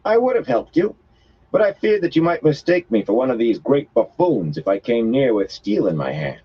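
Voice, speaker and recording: A man voicing a sharp-tongued outcast woman with a foreign-sounding accent, scornful and indignant, on a muffled lo-fi recording. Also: mid-range voice, very animated, normal speed, breathy edge, pitched above the performer's own voice. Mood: tart.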